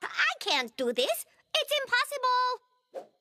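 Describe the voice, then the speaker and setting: A woman voicing an excitable, eager teenage vampire girl in a cartoon, with gushing teen slang and a dramatic streak. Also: very high-pitched, takes it slowly, slight background noise, exaggerated voice. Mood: discouraged. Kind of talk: animated cartoon acting.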